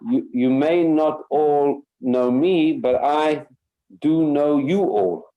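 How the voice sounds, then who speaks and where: A man teaching a Torah class over a video call as a rabbi, speaking haltingly with many fillers and repeated words. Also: fairly high pitch, lo-fi sound.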